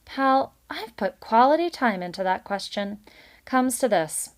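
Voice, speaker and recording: Woman playing a brash, loud beaver boss. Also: fairly high pitch, animated, unhurried, pitched above the performer's own voice. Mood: breezily dismissive. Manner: breezy salesman patter, slangy, emphatic. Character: brash, booming, slick male beaver boss.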